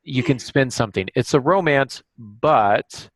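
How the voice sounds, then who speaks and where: A man hosting a podcast, giving casual writing advice in a halting way, as if thinking aloud. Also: medium pitch, no echo, low-quality recording. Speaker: podcast host and novelist. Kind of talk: casual instructional discussion.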